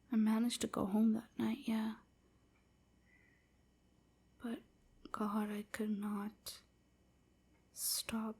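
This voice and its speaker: A sorrowful young vampire slave played by a woman confides in a soft, breathy near-whisper. The delivery is very slow and halting, with a dark, gentle tone and a slight crackle in the voice, suited to an intimate audio roleplay. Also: a little high, full character voice. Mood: regretful, hushed, then haunted, pained. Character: sorrowful young enslaved vampire woman recounting her past.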